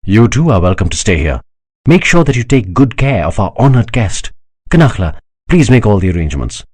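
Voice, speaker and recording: A man's voice: on the low side, big pitch swings, a little fast, darkish tone, breathy edge. A man reading a proud, devout emperor who speaks with solemn courtesy and rising passion. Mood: courteous. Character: proud emperor, older man, fervent believer.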